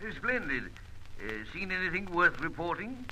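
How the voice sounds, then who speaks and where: Jovial older british spy boss on the phone, very sharp tinny voice, stammery, bit of noise. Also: male voice, sits high, very animated, moderate pace, heavily acted. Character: jovial, blustering older upper-crust British spy chief man. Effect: telephone filter. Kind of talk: old-time radio serial acting. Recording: thin noisy telephone-effect radio recording.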